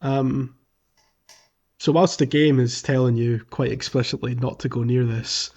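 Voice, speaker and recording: A man co-hosting a podcast, chatting informally and a little haltingly about playing a video game. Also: mid-range voice, fairly even intonation, a little mellow. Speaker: podcast co-host. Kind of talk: casual chat about a video game playthrough.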